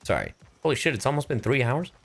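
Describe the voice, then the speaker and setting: A man's voice: medium pitch, fast, creaky, faint music behind. A man doing live gameplay commentary as a streamer, playful and freely swearing. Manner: animated, playful, profane. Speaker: VTuber game streamer.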